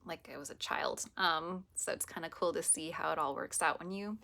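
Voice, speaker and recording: A woman with a raspy, hoarse voice after a long day, talking softly and meandering into whispers as she runs a casual spiritual vlog. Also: sits low, moderate pace. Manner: soft, meandering, often drops to a whisper. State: hoarse from teaching all day. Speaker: reiki practitioner.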